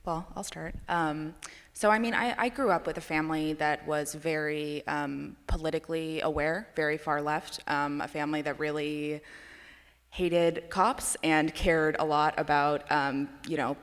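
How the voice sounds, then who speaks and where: An earnest woman speaks as a panelist at a conference, leaning on fillers as she goes. Her voice sits low for a woman. The long reverb of a large hall trails behind her. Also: steady tone, a little slow. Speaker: panelist, editor.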